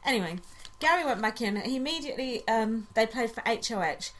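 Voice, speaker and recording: A woman co-hosting a casual podcast, talking fast-flowing and mocking, often breaking into laughter. Her voice is bright and lively, rising and falling a lot as she gossips. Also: on the high side. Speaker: podcast co-host. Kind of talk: casual chat recapping a reality show.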